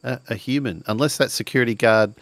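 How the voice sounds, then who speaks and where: A man's voice: mid-range voice, fast talker, a bit bright, a little hiss or hum. A man improvising casually as a player in a tabletop roleplaying game.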